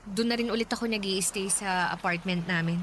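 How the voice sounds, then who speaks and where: A woman acting a young woman torn between two men in a radio drama, soft and apologetic. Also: medium pitch, fairly quick, natural voice. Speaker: lead character in radio drama. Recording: audible background hiss.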